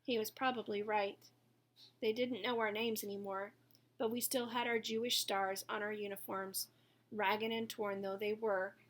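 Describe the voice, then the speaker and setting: A woman's voice: medium pitch, fairly bright tone. Woman reading a story aloud. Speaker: audiobook reader. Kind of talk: dramatic scripted narration of a first-person story.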